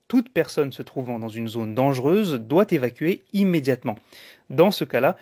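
A man co-hosting a radio information magazine, explaining things in a measured, presenter-like way. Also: mid-range voice, a little mellow, dry recording, studio quality. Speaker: radio co-host.

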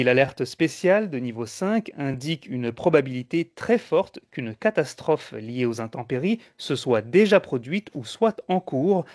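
A man co-hosting a radio information magazine, explaining things in a measured, presenter-like way. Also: medium pitch, a little mellow, no echo, clean studio sound. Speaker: radio co-host.

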